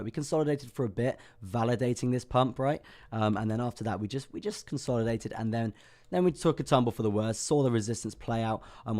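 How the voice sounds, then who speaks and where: A young man hosts a crypto livestream with a hoarse, worn-out voice, talking casually with lots of ums and restarts. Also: lowish voice, darkish tone. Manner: chatty, self-deprecating, many ums and restarts. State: hoarse, lost voice. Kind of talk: casual market analysis livestream.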